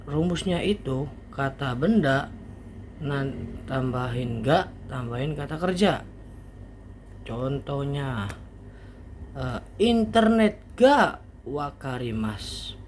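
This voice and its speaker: A man giving an informal language lesson as a teacher, explaining haltingly step by step with casual asides. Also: medium pitch, lively intonation, darkish tone. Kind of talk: informal online grammar lesson. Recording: faint background noise.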